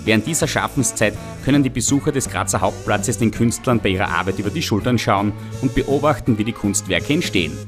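Male reporter, low voice. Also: expressive, a little fast, hardly any pauses, over music. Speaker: reporter and narrator. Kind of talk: scripted magazine narration and street interviews.

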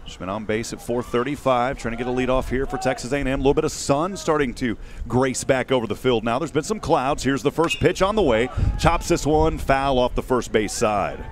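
A man in his thirties calling a baseball game live in short, measured phrases, speaking into a headset mic outdoors. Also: medium pitch. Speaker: radio play-by-play baseball announcer. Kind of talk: live sports commentary. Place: outdoors at a windy ballpark. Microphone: headset boom mic.

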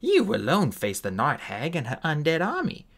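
A man's voice: huge pitch swings, moderate pace, gravelly, a bit bright, husky, exaggerated voice. A man reading aloud and voicing a fierce, ancient female warrior who talks bluntly and demandingly. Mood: insistent. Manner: blunt, demanding, rises sharply in pitch.

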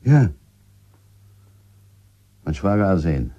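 A bitter village husband in a radio drama, with a very low, husky voice. He talks coarsely and guardedly, halting over his answers like someone under suspicion. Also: sing-song, mellow, highs cut off, exaggerated voice. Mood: bitter accusing. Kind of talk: German radio drama acting.

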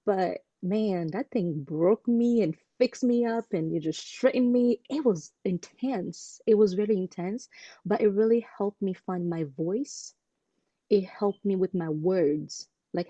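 A woman's voice: medium pitch, unhurried, no echo, highs cut off. A woman in her twenties, originally from Congo, speaking earnestly as an interview guest over a video call, telling her story with lots of "um" and "you know". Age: 20s. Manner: earnest, animated storytelling with many fillers. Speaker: guest, pageant titleholder. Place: home room indoors.

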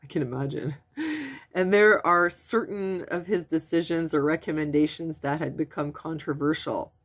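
A woman's voice: deep voice, steady tone, normal speed. A woman in her forties with a stuffy nose from a cold, chatting casually into her webcam at home as she reads and translates news articles. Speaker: vlogger. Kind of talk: casual commentary reading news aloud. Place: small room at home, indoors. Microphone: webcam at desk.

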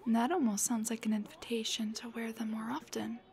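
A woman playing a cuddly, teasing, affectionate girlfriend in an intimate roleplay ASMR, speaking softly and breathily in a half-whisper close to the microphone. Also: a little high, level tone, a little fast. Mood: playful, teasing. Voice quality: breathy, soft. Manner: gentle, playful, affectionate.

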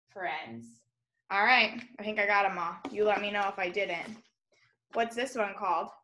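A young woman teaching small children in a video lesson, animated and encouraging, spelling out and enunciating words as she invites them to repeat. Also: fairly high pitch. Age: young adult. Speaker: elementary school teacher. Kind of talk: early-grade video lesson to children, call and response. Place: room in a home, indoors.

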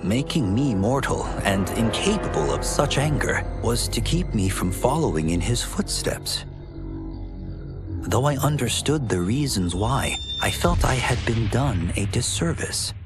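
An actor voicing a solemn, earnest young warrior monk in measured, formal game narration. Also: male voice, mid-range voice, lightly acted. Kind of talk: dramatic scripted ending narration. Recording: studio voice over music.

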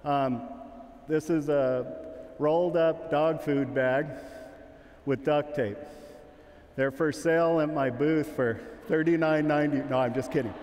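A man's voice: a little high, steady tone, unhurried, long pauses, a little mellow, a little hiss or hum. A middle-aged man giving a casual, joking sheepdog training demonstration in a large indoor arena. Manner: casual, joking, calls commands to his dog. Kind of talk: live demonstration talk. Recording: echoing arena, long reverb.

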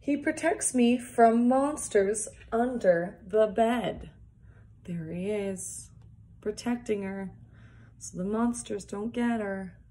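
Slow-paced young woman reading storytime. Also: medium pitch, mellow. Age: young adult. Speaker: early years worker reading a picture book. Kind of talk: read-aloud storytime for young children with casual asides. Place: small plain indoor room, seated at a desk. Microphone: phone or device propped at arm's length.

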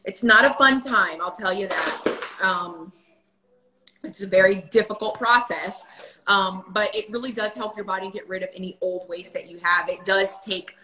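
A woman's voice: mid-range voice, moderate pace, bright, forceful tone, roomy sound, rough recording. A woman in her thirties chatting casually on a livestream at home, answering viewer questions with lots of fillers. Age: 30s. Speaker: vlogger. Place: home kitchen. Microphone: phone camera at table.

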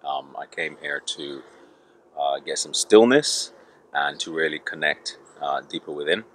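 A man in his thirties giving a calm, hesitant testimonial outdoors by some trees. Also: very deep voice. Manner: calm, slow, hesitant with many ums. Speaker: retreat participant. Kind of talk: short testimonial. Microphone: camera at close range.

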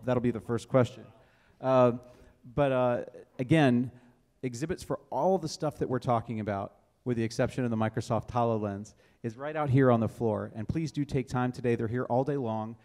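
Male moderator for a live panel, average pitch, addressing speakers and attendees. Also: fairly even intonation, moderate pace, darkish tone, big-room sound. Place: indoor event space before a small audience.

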